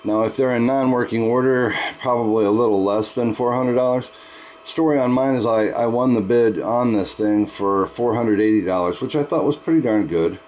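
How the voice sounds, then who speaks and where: A man's voice: mid-range voice, normal speed, darkish tone, slight background noise, low-quality recording. A man talking casually as an amateur radio operator, explaining things in a chatty way and using radio procedure words. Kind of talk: casual ham radio conversation.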